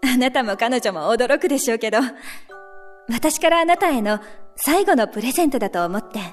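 A woman's voice: on the high side, lively intonation, a little fast, faint music behind, lightly acted. A woman playing a resolute, self-effacing wife reading out a farewell letter in a composed, formal manner in a radio drama. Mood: quiet resignation. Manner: composed, formal, gently feminine phrasing.

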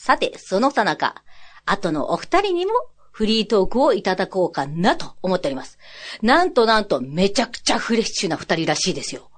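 A woman hosting a casual chat, teasing her guests and reacting with plenty of interjections. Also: on the high side. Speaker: host. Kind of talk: casual unscripted banter with guests.

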